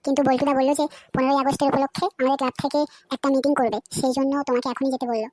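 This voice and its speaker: A woman's voice: high-pitched, level tone, rapid-fire, dry recording, natural voice. A woman voicing an earnest young village boy running messages in a cartoon. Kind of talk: cartoon voice acting. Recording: loud background music.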